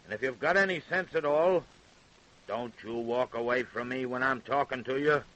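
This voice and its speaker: A man's voice: mid-range voice, normal speed, fairly bright tone, close to an everyday voice, rough recording. An actor with an American accent playing a possessive, evasive working-class father in an old-time radio crime drama. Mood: coaxing, controlling.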